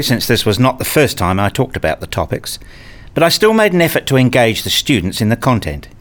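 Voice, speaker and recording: Weary irritable male academic, acted by a man, lowish pitch, dry, sardonic, first-person radio play narration. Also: natural voice.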